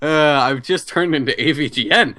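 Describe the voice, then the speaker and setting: A man's voice: a little high, normal speed, bright, forceful tone. A man playing a tabletop roleplaying game, chatting casually and thinking aloud with some hesitation.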